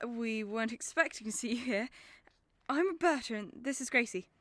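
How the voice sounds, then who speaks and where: A woman's voice: a little high, lively intonation, normal speed, dry recording, close to an everyday voice. A teenage girl playing a protective, easily outraged village girl in a radio play, speaking emphatically. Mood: polite. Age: teens. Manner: indignant, emphatic.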